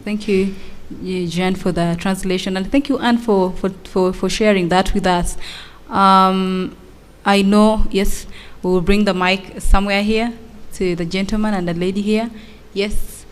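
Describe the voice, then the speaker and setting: A woman's voice: medium pitch, fairly even intonation, a little slow. A woman moderating a panel discussion politely, with some stumbling restarts.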